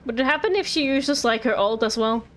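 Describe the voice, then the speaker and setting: A woman's voice: fairly high pitch, a little fast, fairly bright tone. A woman streamer giving casual game commentary in a playful, pleading and apologetic way. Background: game music.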